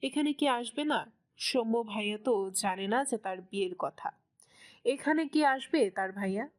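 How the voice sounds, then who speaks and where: Casting: female narrator for scripted fiction, slightly high register, storytelling delivery. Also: animated, unhurried.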